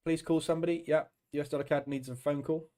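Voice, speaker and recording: A middle-aged man with a deep, throaty, hoarse voice from a cold, hosting a casual live trading stream and chatting between fillers. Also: steady tone, quick pace, dark tone. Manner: chatty, greets viewers by name, many fillers.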